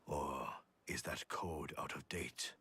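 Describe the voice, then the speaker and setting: An actor playing a calm, courteous old martial artist in a video game, speaking in a formal, measured way. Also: male voice, rumbling low, husky, heavily acted. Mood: composed. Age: older. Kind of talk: scripted game voice acting.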